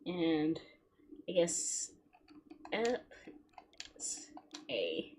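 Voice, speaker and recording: A woman's voice: low voice, sing-song, very slow, darkish tone, a little hiss or hum. A woman hosting a video game livestream, chatting casually with viewers in a playful, giggly way. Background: music.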